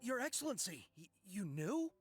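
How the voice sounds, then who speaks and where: A woman's voice: medium pitch, very animated, moderate pace, soft background music, natural voice. A woman voicing an earnest loyal soldier. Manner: earnest and deferential. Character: earnest loyal young soldier, eager to fight. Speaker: resistance soldier. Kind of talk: video game dub.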